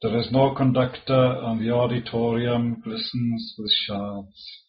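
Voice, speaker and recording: Slow welsh guy reading his own poems, mid-pitched voice, halting bits between them, kinda muffled recording. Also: level tone. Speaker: poet.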